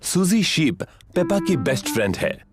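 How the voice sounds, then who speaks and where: A man's voice: fairly high pitch, big pitch swings, moderate pace, over music. A man playing a cheerful storyteller who narrates a children's cartoon.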